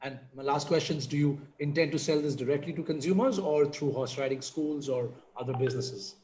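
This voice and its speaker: Male pitch judge, slightly high register, unscripted question-and-feedback delivery, a bit of background noise. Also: fairly quick, darkish tone.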